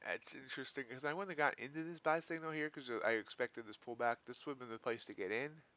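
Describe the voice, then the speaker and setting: Bright, forceful man on a lo-fi livestream. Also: mid-range voice, quick pace. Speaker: live-streaming futures trader, host. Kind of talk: casual live trading commentary. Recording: dull, lo-fi livestream audio.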